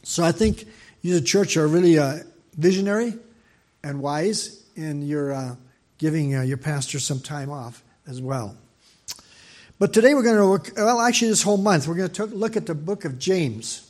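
An older man with a soft, slightly high voice preaches a sermon into a church microphone. He talks quickly, often stopping for fillers and restarting his sentences. His tone stays gentle and personal. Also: lively intonation. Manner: speaks fast, with frequent fillers and restarts. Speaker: guest preacher. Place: church sanctuary.

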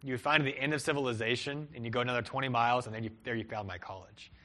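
Young male pastor, earnest sermon delivery, voice climbs and drops to stress points, mid-range pitch. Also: steady tone, racing, sharp tone. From Peru and Cleveland, Ohio. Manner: earnest, rises and falls for emphasis.

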